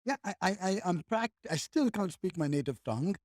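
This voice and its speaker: A man's voice: high-pitched, animated, brisk, no echo, studio quality. A man, a podcast guest talking in a reflective, rambling way with many restarts. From India. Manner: rambling, with frequent restarts and "like", "right?". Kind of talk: reflective conversational chat.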